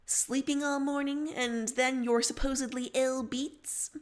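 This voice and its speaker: A woman's voice: sits high, little pitch movement, a little slow. A woman voicing a sardonic, concerned young teenage girl in a fan fiction reading, insistent and teasing. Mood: dry, teasing.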